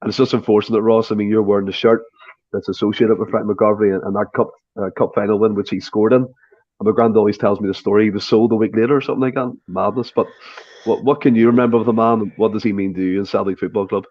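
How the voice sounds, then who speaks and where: Hoarse Scottish podcast host. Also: male voice, lowish voice, steady tone, fast, lo-fi sound. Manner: chatty, leads the talk with questions. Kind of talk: casual podcast chat. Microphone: remote call setup.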